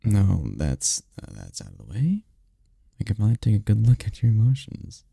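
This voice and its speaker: A man playing a tender, powerful incubus boyfriend in an intimate roleplay ASMR, speaking softly and soothingly with unhurried, caring murmurs. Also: wildly swooping pitch, no echo, clean studio sound, full character voice. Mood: soothing, attentive. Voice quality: deep, dark, gravelly, husky. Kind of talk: intimate roleplay ASMR, scripted comfort monologue. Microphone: close mic, dry.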